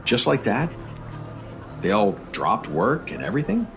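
An actor playing an earnest, patient government psychologist in an audio drama, raspy, placating and a little hesitant. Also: male voice, lowish voice, very animated, unhurried, music in the background, heavily acted. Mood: earnest, curious.

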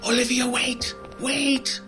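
A man's voice: extremely high pitch, little pitch movement, slow, pushed and bright, faint music behind, exaggerated voice. A man playing a mild, nervy bookstore clerk with a hesitant, dryly joking manner in a radio drama. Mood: reluctant. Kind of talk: radio drama dialogue, conversational.

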